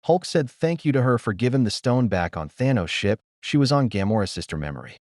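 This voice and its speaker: A man's voice: medium pitch, sing-song, brisk, darkish tone, dry recording, studio quality. A man retelling a story as an amateur reviewer, in short, choppy, loosely worded sentences. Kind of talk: simple spoken retelling of a film's plot.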